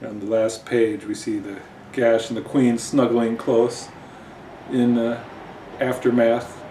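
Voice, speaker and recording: Slow-paced male storyteller, roomy sound. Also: medium pitch, flat delivery, dark tone. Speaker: author and host reading own story. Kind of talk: informal dramatic comic story narration. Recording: roomy, distant mic, faint noise.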